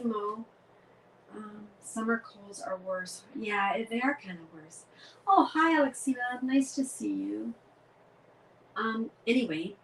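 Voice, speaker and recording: A woman's voice: fairly low pitch, slight background noise, low-quality recording. A middle-aged woman from Canada with a head cold, hosting a casual live craft demonstration and greeting viewers by name.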